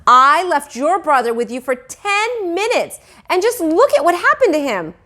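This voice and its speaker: An emotional mother from a children's story, acted out by a woman, doting but quick to flare up. The voice is dramatic and fussing, lifted high and swinging freely. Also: big pitch swings, sharp tone, full character voice. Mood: angry.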